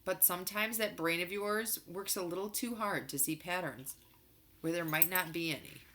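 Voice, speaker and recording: A woman voicing a calm, reasoning father in a storybook, measured and reassuring. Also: on the low side, slight background noise. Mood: gently firm. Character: calm reasoning father, middle-aged man.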